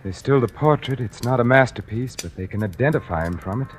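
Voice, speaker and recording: An actor playing a weary, tormented painter who narrates and speaks in a 1940s radio drama. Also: male voice, medium pitch, lively intonation, brisk, slightly rough. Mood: weary, bitter. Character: weary, tormented male painter confessing to murder. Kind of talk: 1940s radio acting, first-person narration and dialogue. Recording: old radio drama recording.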